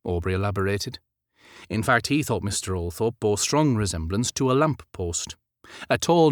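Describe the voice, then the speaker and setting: Expressive male audiobook narrator, dry recording. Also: lowish voice, dry recording. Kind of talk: scripted fiction narration, period romance.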